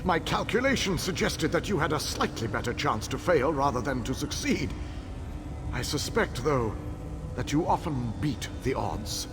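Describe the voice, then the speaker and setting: An actor playing an ancient elven king who speaks in a formal, deliberate and strategic way. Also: fairly high pitch, expressive, background noise. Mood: measured. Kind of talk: video game dialogue.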